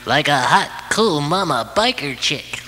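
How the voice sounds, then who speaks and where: Man acting as a sardonic elf helper, grumbling, fairly high, lively, comic holiday audio drama. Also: measured pace. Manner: whiny, sardonic. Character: grumbling, put-upon Christmas elf helper. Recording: reverberant, faint music behind.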